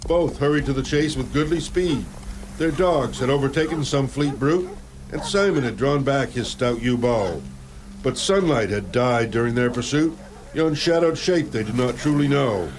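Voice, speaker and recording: A man's voice: mid-range voice, a little hiss or hum, natural voice, low-quality recording. A man playing a romantic, grave bard who tells tragic tales in theatrical rhyming verse for a radio drama. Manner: poetic, theatrical diction. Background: faint musical accompaniment.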